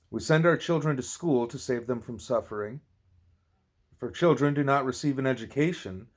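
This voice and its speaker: A man reading a spiritual teaching aloud like an audiobook, occasionally stumbling and repeating words. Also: medium pitch. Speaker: audiobook reader.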